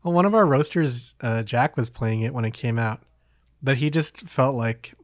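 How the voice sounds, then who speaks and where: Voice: mid-range voice, moderate pace, dry recording. Casual podcast host, dry close sound. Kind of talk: casual conversation about video games.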